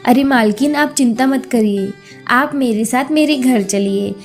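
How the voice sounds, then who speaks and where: A humble working mother, voiced by a woman storyteller, earnest and reassuring as she speaks. Her voice is high and music plays underneath. She sounds like a character from a simple moral tale. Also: measured pace. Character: humble, contented poor housemaid and loving mother.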